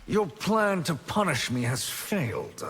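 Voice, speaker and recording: Smug evil sorcerer, taunting, pitch swoops about, big reverb, video game. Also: male voice, sing-song, a little slow, a bit bright, exaggerated voice. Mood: gloating. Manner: taunting, sardonic. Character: smug, unrepentant male sorcerer villain hungry for power.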